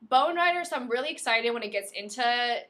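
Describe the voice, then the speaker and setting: An enthusiastic woman with a bright, forward voice pitched a little high. She co-hosts a podcast and gushes in a casual, chatty way. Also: lively intonation, a little fast. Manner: enthusiastic, gushing. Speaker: podcast co-host. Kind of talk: casual book-discussion chat.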